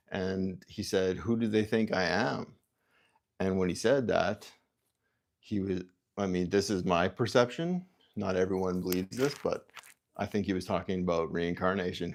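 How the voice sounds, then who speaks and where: Low gravelly-voiced man musing on a livestream. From Canada. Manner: halting, self-interrupting, musing aloud; reads viewer comments aloud. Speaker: livestream host. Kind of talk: rambling livestream chat.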